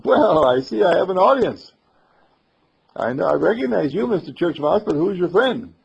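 Man as a hearty, husky church-bell organist. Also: high voice, big pitch swings, moderate pace, muffled recording, voice pushed up, exaggerated voice. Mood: curious. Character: friendly professional church-bell organist, chatty and avuncular.

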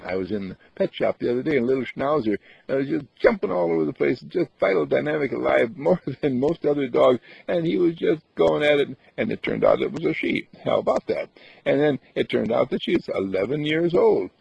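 A man giving a meditation talk, repeating affirmations with lively chuckles. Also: mid-range voice, brisk, vocal fry, breathy edge, no echo. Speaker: meditation teacher. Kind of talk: spiritual talk. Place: outdoors on a path. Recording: dull dry lo-fi recording.

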